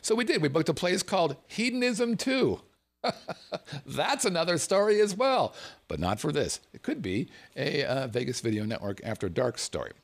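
A middle-aged man from the United States spins a comic yarn about his own past, swearing freely and chuckling along the way. His pitch swoops up and down, giving the story an animated, lively feel. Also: moderate pace, gravelly. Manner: animated, profane, laughs through his story.

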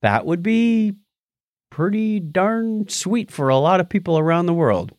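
Animated man hosting a chatty podcast. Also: sits high, unhurried, no echo, clean studio sound. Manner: jokey, chatty. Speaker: podcast host. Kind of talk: casual news and birthdays banter.

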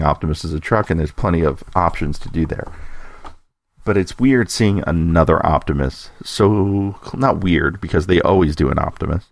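A man's voice: sits low, creaky, no room sound, clean studio sound. A man hosting a podcast segment with informal commentary, speculating and thinking aloud as he talks. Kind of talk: informal toy-news commentary.

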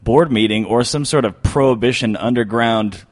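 A man's voice: medium pitch, moderate pace. A man chatting casually and playfully as a podcast guest. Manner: playful, riffing. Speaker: podcast guest, comedy festival co-founder. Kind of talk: casual chat. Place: marble boardroom in a clock tower.

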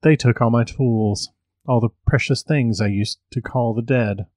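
A man's voice: mid-range voice, unhurried, darkish tone, no echo, studio quality, natural voice. A man voicing a spiteful old male witch who growls and taunts smugly.

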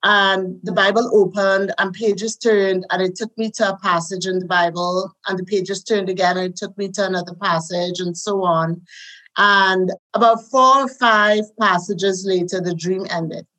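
A woman with a Trinidadian accent, a guest recounting her life in a relaxed interview, with soft laughs as she talks. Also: medium pitch, moderate pace, low-quality recording. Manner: warm storytelling with soft laughs. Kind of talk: conversational interview.

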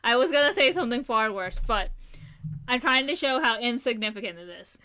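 A woman's voice: on the high side, animated, fairly quick, bright, forceful tone. A woman co-hosting a podcast, chatting casually in a playful, giggly, rambling way. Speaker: podcast co-host. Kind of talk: casual chat. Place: Japan.

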